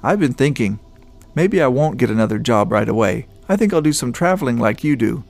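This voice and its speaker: A man's voice: mid-range voice, animated, fairly quick, vocal fry, a little mellow, soft background music. A man voicing a talkative, joking male office coworker. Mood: curious.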